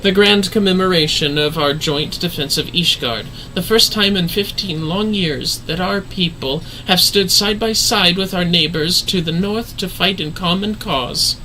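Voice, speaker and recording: A man's voice: high voice, measured pace, few pauses, sharp tone, a little hiss or hum, low-quality recording. A man voicing a refined, earnest woman leader in a fantasy game, speaking in formal, solemn, archaic phrasing. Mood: solemn, stirring.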